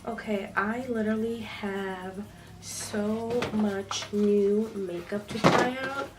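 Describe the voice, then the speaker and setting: A woman's voice: mid-range voice, fairly even intonation, slow. A young woman vlogger with a stuffy, nasal voice from a cold, chatting casually with lots of ums as she does her makeup. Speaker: beauty vlogger. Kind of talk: casual makeup tutorial talk. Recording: slightly distant with faint noise.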